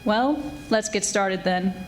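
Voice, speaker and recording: A woman's voice: mid-range voice, takes it slowly, lightly acted, poor audio. A woman playing a wry, breezy female private eye who narrates in 1940s radio detective style. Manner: wry, breezy, flirtatious deadpan. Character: wisecracking glamorous female private eye, former model. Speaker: radio theatre actor. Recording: live stage recording with music.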